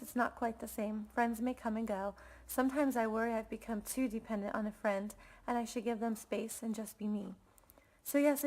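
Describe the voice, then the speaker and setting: A woman's voice: a little high, a little mellow, low-quality recording. A woman with an American accent reading a scripted personal reflection as a student presenter. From United States.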